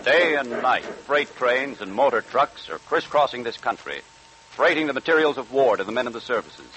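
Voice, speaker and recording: A man's voice: medium pitch, lively intonation, normal speed, background noise. A man reading a scripted sponsor message as a 1940s radio announcer.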